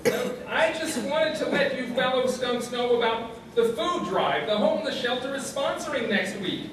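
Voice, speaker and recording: A man's voice: very high voice, animated, moderate pace. A man playing an eager, meek junior lodge member, hesitant and deferential, in a comic radio play. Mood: meek, earnest. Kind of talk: comic radio theatre acting. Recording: echoey hall, distant mic, noisy.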